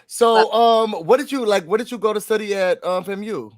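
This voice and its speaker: A woman hosts a casual interview, loud and excitable. She swears freely and shouts hype at her guest. Her voice sits at a middle pitch. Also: normal speed. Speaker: host.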